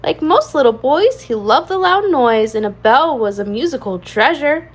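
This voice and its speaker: A woman with a high voice reads a picture book to young children. Her delivery swings widely up and down, with a singsong rhyming cadence and plenty of expressive emphasis on key words. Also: normal speed. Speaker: children's read-aloud channel host. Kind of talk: animated storybook read-aloud for young children.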